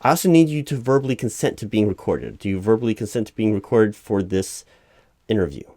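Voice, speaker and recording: Guy playing a polite flustered hr manager type, kinda low voice, dry sound, stumbles and over-explains, spoken asmr interview roleplay. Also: lively intonation, warm tone, no room sound. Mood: flustered, exasperated. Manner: halting, repeating himself, over-explaining. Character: polite, flustered, bureaucratic male corporate recruiting manager, patiently exasperated. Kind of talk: one-sided ASMR interview roleplay, spoken aloud without whispering.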